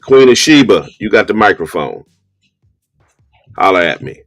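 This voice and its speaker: A man's voice: fairly low pitch, sing-song, normal speed, no echo, soft background music. A man hosting a live call-in discussion in a casual, colloquial way. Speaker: host of a live audio discussion.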